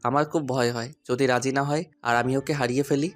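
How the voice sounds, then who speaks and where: A man's voice: mid-range voice, steady tone, a little fast, lightly acted. A man playing a shy, lovesick young college student who narrates his own romantic audio story. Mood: hesitant, dejected. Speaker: narrator and lead actor. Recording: music behind the voice. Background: background music.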